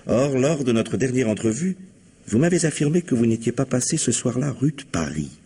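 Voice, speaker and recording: An actor playing a shrewd, composed police commissioner in an old French radio mystery, husky and methodical in his phrasing. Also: mid-range voice, big pitch swings, a little fast, mellow. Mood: firm, accusing.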